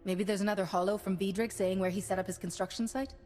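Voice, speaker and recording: An actress playing a resolute warrior-monk heroine in a video game, composed, formal and decisive. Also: female voice, medium pitch, steady tone, fast, a little mellow, soft background music, lightly acted. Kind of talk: scripted game acting.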